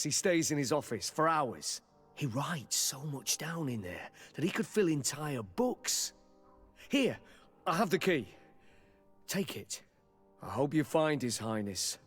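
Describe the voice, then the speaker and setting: An actor playing a deferential, uneasy palace bodyguard in a video game, speaking haltingly and respectfully. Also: male voice, mid-range voice, very animated, slightly rough, soft background music. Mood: reluctant, confiding. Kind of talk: dramatic video game cutscene acting.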